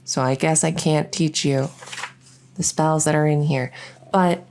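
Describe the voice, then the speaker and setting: Female performer as flustered fledgling vampire, ASMR roleplay. Mellow, very low-key tone, low pitch, earnest and hesitant. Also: fairly even intonation, a little hiss or hum. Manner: halting, hesitant, stammering.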